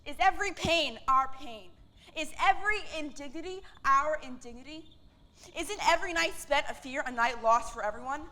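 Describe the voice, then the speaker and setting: A woman's voice: sits high, moderate pace, bright, forceful tone, slight background noise. A woman playing a fiery factory worker in a staged reading, rallying others to revolt with insistent oratory. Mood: rousing. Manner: oratorical, insistent, building rhetorical questions.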